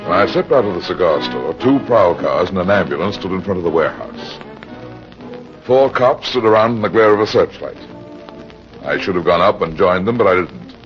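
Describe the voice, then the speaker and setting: Deep-voiced hard-boiled radio detective. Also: male voice, expressive. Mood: brooding. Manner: terse, grave, deliberate. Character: weary, hard-boiled private detective narrating his case. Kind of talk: 1940s radio detective acting. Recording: dull old radio recording with music.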